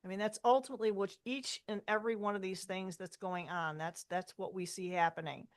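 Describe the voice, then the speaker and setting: A woman's voice: mid-range voice, steady tone, fairly quick. A woman co-hosting a podcast in casual chat, speaking in a matter-of-fact, emphatic way. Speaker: co-host.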